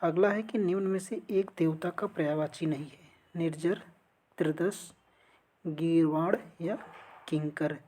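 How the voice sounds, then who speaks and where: Woman, slightly low pitch, exam tutor, instructional, question-and-answer lesson delivery. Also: a little slow, mellow. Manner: instructional, poses questions then answers them. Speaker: exam-prep teacher. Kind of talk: lesson going through multiple-choice quiz questions.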